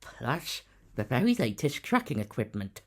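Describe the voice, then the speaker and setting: A man playing a gentle, crafty elderly parish priest in an audio drama, speaking in a genial, formal way. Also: mid-range voice, big pitch swings, moderate pace. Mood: calmly persuasive. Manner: genial, politely formal speech.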